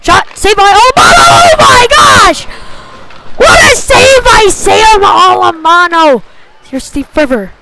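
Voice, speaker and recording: A woman with a high voice gives live hockey play-by-play. She speaks at a slow pace, in short, clipped phrases that track the play as it moves. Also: lively intonation, heavy background noise. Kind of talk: live sports commentary.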